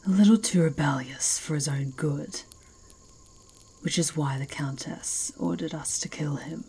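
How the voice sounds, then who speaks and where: A woman playing a fierce, mocking werewolf chieftain in an ASMR roleplay, speaking in a slow, taunting, imperious way. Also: sits low, mellow, a little hiss or hum, rough recording. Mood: taunting, measured.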